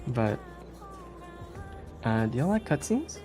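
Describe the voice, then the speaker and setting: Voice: medium pitch, big pitch swings, takes it slowly. A speedrunner giving casual live commentary on a game, chatty and giggly, with some hesitation while explaining. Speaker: speedrunner presenting a game run. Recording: game music behind the voice. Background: game music.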